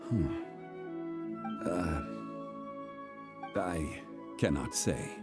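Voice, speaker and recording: An actor playing a calm, dignified, wistful ancient god in a video game, speaking in a formal, measured, solemn manner. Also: male voice, very deep voice, loud music behind the voice, full character voice. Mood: grave, apologetic. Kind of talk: video game character acting.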